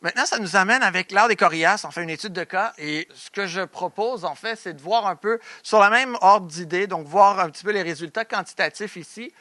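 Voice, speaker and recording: A man's voice: high-pitched, fairly quick, hardly any pauses, sharp tone, no room sound. A young man from Montreal with a Québécois French accent gives an academic conference presentation, often hesitating and restarting his sentences. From Montreal, Quebec, Canada. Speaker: doctoral researcher, conference speaker.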